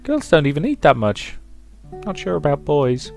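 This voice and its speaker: Man putting on a high-pitched, eager little girl. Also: big pitch swings, normal speed, vocal fry, soft background music. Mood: earnest, eager. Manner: eager childlike rambling. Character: chatty, earnest, naive young girl in a visual novel.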